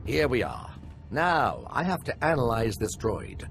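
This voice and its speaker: A man's voice: medium pitch, huge pitch swings, unhurried, fairly bright tone, a little hiss or hum, heavily acted. A man playing an eager, nervous young imperial officer and scholar in a video game, earnest and a little awkward. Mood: businesslike, wry.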